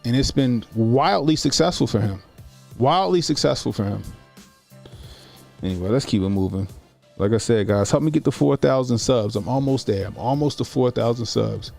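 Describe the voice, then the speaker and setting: Gravelly forty-year-old man. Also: medium pitch, moderate pace, a little mellow, soft background music. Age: 40s. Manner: informal, repeats phrases for emphasis. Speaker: YouTube commentary host. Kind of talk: casual livestream commentary.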